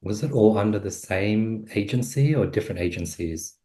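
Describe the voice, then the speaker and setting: A man's voice: on the low side, lively intonation, normal speed, warm tone. A man hosting a casual conversational interview, asking short, informal questions. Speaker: podcast host, interviewer.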